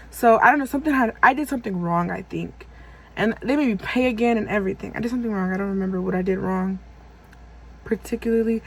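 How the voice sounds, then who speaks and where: A woman's voice: mid-range voice, gravelly, husky, rough recording. A woman in her twenties telling a personal story on her vlog in a rambling, casual way, full of fillers and self-corrections. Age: 20s. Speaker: vlogger.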